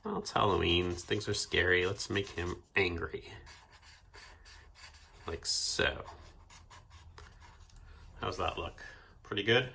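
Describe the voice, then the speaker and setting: A man's voice: low voice, takes it slowly, gravelly, long pauses, noisy background. Chatty man leading a kids' storytime. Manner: chatty, asks kids questions while drawing. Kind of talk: playful children's storytime talk.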